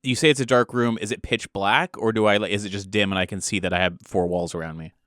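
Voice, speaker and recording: A man's voice: fairly low pitch, fairly even intonation, fast, fairly bright tone, no echo, studio quality. A man playing an improvised tabletop game, speaking in a polite, questioning and tentative way. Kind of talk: improvised in-character role-play and questions.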